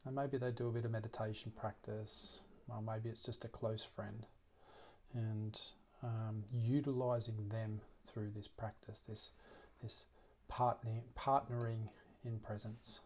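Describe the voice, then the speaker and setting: A man leading a guided meditation as a teacher, speaking gently and unhurriedly with long pauses. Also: lowish voice, flat delivery, a little hiss or hum.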